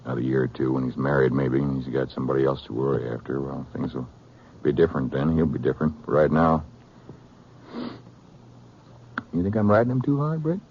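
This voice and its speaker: Casting: stern frontier sheriff, American rural drawl, very deep voice, muffled vintage radio western sound. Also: male voice, quick pace, a little hiss or hum. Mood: earnest, troubled. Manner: folksy rural drawl, bossy. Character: gruff, domineering older brother, small-town Old West sheriff. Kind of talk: old-time radio western acting.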